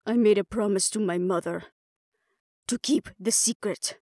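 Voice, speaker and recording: A woman voicing a guarded, grudging, defiant old female vampire elder in an audio roleplay, speaking in a halting, solemn way. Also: medium pitch, warm tone, no room sound, clean studio sound, natural voice.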